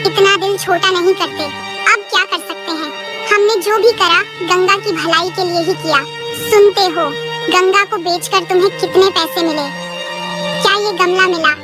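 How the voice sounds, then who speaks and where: A woman voicing a poor, caring, practical village wife who gently chides, in a moral cartoon. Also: extremely high pitch, fairly even intonation, normal speed, over loud music. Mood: worried, consoling. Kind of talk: Hindi moral cartoon story voice acting.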